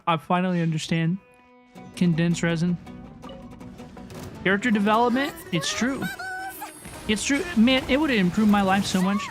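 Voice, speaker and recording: A woman hosts a gaming livestream in a casual, chatty way. Her voice sits at a middle pitch and she often repeats a phrase for emphasis. Music plays behind her. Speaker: livestream host. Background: game music.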